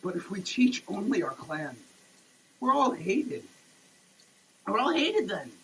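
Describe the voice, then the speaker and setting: A man giving a dramatic, preachy reading with emphatic pauses, like a sermon. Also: sits high, big pitch swings, a bit bright, a little hiss or hum, low-quality recording. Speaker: sketch performer. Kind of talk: dramatic reading of a soap label, declaimed like a sermon. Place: shower, per the page.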